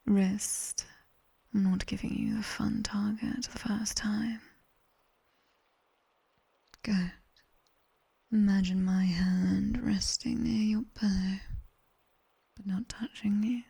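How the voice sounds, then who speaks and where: A British woman playing a sharp-tongued, teasing demoness tutor in a soft, whispered ASMR roleplay. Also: mid-range voice, fairly even intonation, creaky, husky. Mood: teasing, instructing. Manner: slow, hushed, teasing and bossy.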